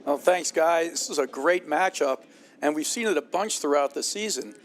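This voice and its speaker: A man's voice: a little high, normal speed, slight background noise. A man, giving casual, conversational match analysis as color commentator. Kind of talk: sports broadcast commentary.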